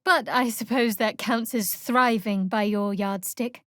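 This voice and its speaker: Young half-elf dark cleric, fairly high voice, guarded, dryly wry, slightly haughty, measured, video game dialogue, very dry studio sound. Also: female voice, steady tone, dry recording. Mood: wry, cutting. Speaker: video game character voice actor. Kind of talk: scripted fantasy game dialogue.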